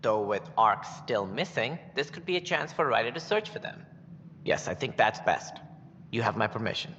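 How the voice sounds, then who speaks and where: A smug alien bureaucrat from a sci-fi game, with a bright voice and animated, sweeping intonation. He talks pompously and theatrically, in a muffled hall with long reverb. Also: lowish voice, normal speed. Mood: officious. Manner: pompous, grandiose, flattering. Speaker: acting director of a colonial initiative. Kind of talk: video game voice acting. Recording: dull game audio, long reverb, faint noise.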